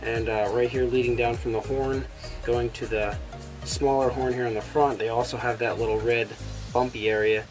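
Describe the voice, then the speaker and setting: A man hosting a toy review, his voice a little raspy from a cold, talking enthusiastically with frequent "uh" fillers. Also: mid-range voice, level tone, moderate pace, faint music behind, low-quality recording. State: sick with a cold, slightly raspy. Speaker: toy reviewer, channel host. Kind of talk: casual product review.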